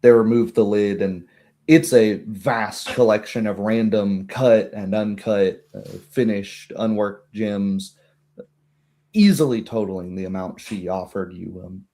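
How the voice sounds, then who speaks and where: Male game master, low and very mellow, descriptive fantasy narration. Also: a little slow.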